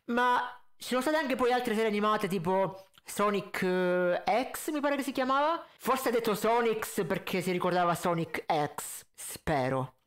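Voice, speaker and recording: A man, giving sarcastic, animated reaction commentary into a close desk mic. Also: high-pitched, unhurried, hardly any pauses. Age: 20s. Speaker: reaction video host. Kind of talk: casual mocking video commentary. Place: indoor room at a desk. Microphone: close desk condenser mic with pop filter.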